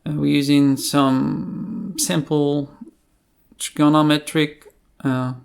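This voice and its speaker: A man presenting an unscripted screencast coding tutorial in a hesitant, halting way, with pauses between phrases. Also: fairly high pitch, little pitch movement, creaky, clean tone.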